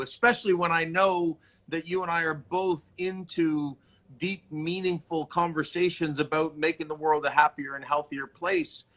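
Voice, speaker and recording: A man's voice: fairly high pitch, fairly bright tone. A man hosting a conversational interview, earnest and wordy as he circles his way into questions. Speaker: interview host. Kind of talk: conversational video-call interview.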